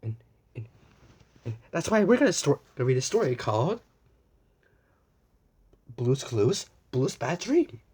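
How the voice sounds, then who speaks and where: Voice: mid-range voice, very animated, slow pace, pauses often, a little mellow, slightly rough. A person reading a picture book aloud to young children, stumbling and repeating words now and then, with exaggerated wonder. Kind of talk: children's read-aloud, addressing young viewers with questions.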